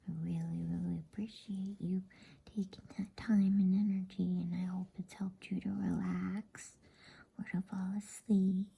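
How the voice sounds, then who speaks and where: Breathy, whispering woman reading a bedtime story. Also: lowish voice. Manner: slow, hushed, soothing. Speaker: storyteller and ASMR host.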